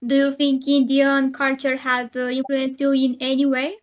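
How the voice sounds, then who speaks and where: A woman's voice: high voice, completely flat delivery, moderate pace, muffled recording. A woman hosting an interview, polite and hesitant, with many fillers. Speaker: host.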